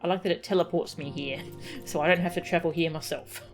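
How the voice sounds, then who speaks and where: A woman's voice: on the low side, steady tone, a little fast. A woman giving casual livestream commentary as she plays a video game, with game music behind her. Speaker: game streamer reading dialogue aloud.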